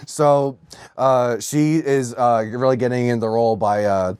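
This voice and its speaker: A man's voice: medium pitch, fairly even intonation, measured pace, a little mellow, clear, resonant tone, no room sound, studio quality. A man co-hosting a podcast in casual comedic banter, crude and sweary, often laughing as he riffs. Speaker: podcast co-host.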